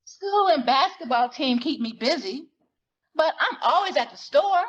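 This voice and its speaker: A wry, self-conscious teenage girl performed by a woman tells her story in expressive, slangy conversation. Her voice sits on the high side and moves around a lot. It is a dramatic novel reading, captured a little dull and muffled. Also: measured pace, slight background noise. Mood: fond, frustrated. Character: self-conscious, wry sixteen-year-old girl narrating her life.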